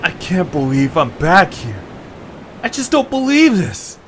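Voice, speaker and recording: A man playing an excitable, earnest young adventurer leading a stranded group in an audio drama. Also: high voice, unhurried, full character voice. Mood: disbelieving. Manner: exclamatory, animated, earnest. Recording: clear background hiss and noise.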